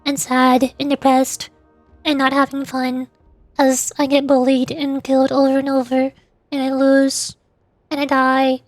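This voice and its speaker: A woman with a high-pitched voice chats casually to her livestream viewers. She rambles in a halting way, circling back over words. The sound is close and dry, with soft music underneath. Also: completely flat delivery, dry recording. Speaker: VTuber livestreamer.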